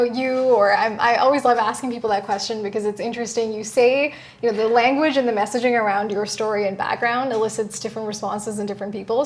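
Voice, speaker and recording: A young woman hosting an interview, asking long rambling questions in a casual chat. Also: fairly high pitch. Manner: chatty, asks long rambling questions, frequent agreeing "yeah". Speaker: interview host.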